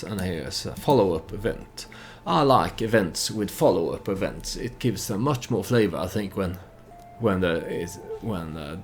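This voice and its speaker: A man hosts a storytelling let's play in a very low voice with plenty of vocal fry. He thinks aloud in a halting, hesitant way as he comments on the game. A faint layer of noise sits behind him.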